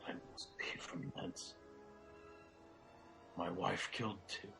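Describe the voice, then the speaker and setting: A man's voice: deep voice, fairly even intonation, slow pace, creaky, music in the background, heavily acted. A man playing an emotional, grave nobleman in a Shakespearean radio drama, speaking dramatic verse. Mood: grief-stricken. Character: Macduff, a grief-stricken Scottish nobleman turned vengeful.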